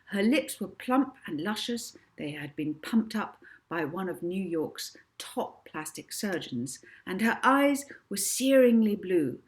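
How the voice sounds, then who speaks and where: A woman narrating a children's novel as its author, in an expressive audiobook style. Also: medium pitch, slow pace, hardly any pauses.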